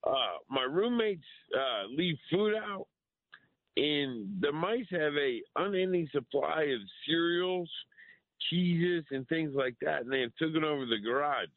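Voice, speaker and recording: Higher-voiced male caller on the phone. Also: animated, measured pace, no room sound. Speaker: radio call-in listener. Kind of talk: explaining a problem to a radio host. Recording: narrow dry phone line.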